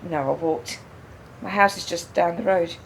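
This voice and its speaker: A woman's voice: fairly low pitch, moderate pace, gravelly, a little hiss or hum, rough recording. An actress playing a frank, wry, grieving woman in a naturalistic radio drama, speaking reflectively and repeating phrases for emphasis. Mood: wry, brittle. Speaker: radio play actor.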